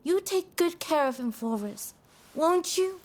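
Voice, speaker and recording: A woman's voice: extremely high pitch, animated, unhurried, darkish tone. A woman playing a polite, anxious and devoted geisha with a deferential manner in a video game cutscene. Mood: tender concern.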